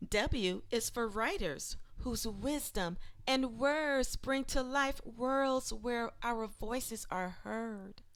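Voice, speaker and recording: A woman reading aloud like an educator sharing a children's book, with deliberate and expressive phrasing. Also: fairly high pitch.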